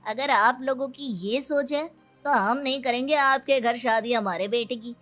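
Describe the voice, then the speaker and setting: A woman's voice: sits high, fairly even intonation, moderate pace, a bit bright, faint music behind. A woman voicing a calculating, haughty middle-aged in-law in a story, evasive and self-righteous. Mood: indignant.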